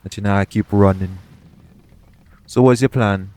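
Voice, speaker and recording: A man's voice: on the low side, steady tone, moderate pace, a little mellow, natural voice. A man playing a roguish, protective pirate captain in an intimate audio roleplay, talking tenderly to one listener in a colloquial seafarer's dialect. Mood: reassuring.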